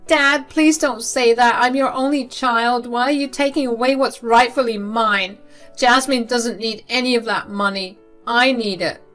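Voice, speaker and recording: Brief: a spoiled, desperate middle-aged mom, high and pleading, put on by a woman narrator. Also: expressive, normal speed, fairly bright tone, soft background music. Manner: whiny, desperate begging.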